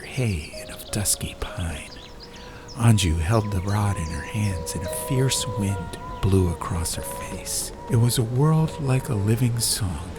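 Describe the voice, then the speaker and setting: A man narrating a scripted audiobook in a measured, dramatic storytelling manner. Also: lowish voice. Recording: ambient sound effects behind. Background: faint fantasy ambience.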